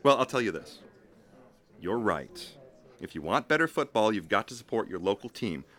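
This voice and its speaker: Audio drama best mate, male actor: young, forceful tone, very animated delivery, joking and passionate about soccer. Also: on the low side, normal speed. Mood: bantering. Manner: bantering, animated.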